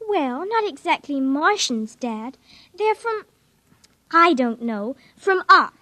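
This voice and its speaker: Very high-voiced excitable little girl. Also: slow pace, no room sound, exaggerated voice. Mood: earnest, insistent. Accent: American. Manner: eager, bossy, childlike. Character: excitable, bossy, secretive little girl with wild imagination. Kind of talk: 1950s American radio drama acting. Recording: vintage radio drama recording.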